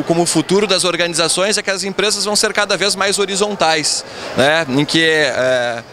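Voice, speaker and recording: Executive in his thirties, slightly high voice, measured answers on a faintly noisy event stage. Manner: measured, frequent filler tags. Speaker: incoming company president. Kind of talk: event interview. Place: event stage backdrop, indoors. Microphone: handheld mic held by interviewer.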